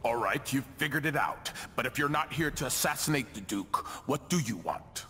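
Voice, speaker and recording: An actor playing a shrewd male body double of a duke in a video game, speaking in a measured, wry, theatrical way. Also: medium pitch, animated, bright, forceful tone, in a large hall, slight background noise. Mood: calculating. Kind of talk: video game cutscene acting.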